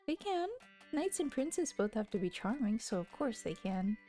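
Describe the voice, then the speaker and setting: Sweet pouting kid sister, high and teasing, mellow tone. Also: female voice, very animated, normal speed, faint music behind. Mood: playful. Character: young girl, playful pouting little sister.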